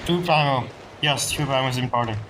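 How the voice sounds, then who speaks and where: A woman's voice: a bass voice, brisk, noisy background. A woman streamer giving casual gameplay commentary in short, scattered reactions. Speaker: game streamer. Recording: loud game music behind voice.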